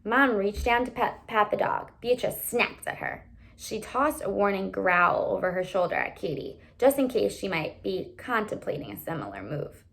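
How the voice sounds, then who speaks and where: A woman's voice: mid-range voice, animated, moderate pace, creaky, fairly bright tone. A woman reading a children's novel aloud expressively, acting out the dialogue as she goes. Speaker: reader, teacher reading aloud.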